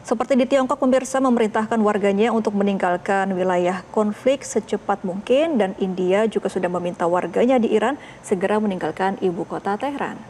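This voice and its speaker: A woman news anchor reading the news and interviewing a guest in a formal, measured manner. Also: mid-range voice, a little mellow, slight background noise.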